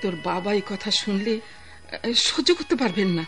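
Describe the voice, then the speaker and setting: A woman's voice: mid-range voice, expressive, moderate pace, fairly bright tone, faint music behind. An actress playing a fussing, affectionate middle-aged aunt in a radio drama, pleading and scolding in a motherly way. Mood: pleading, resigned.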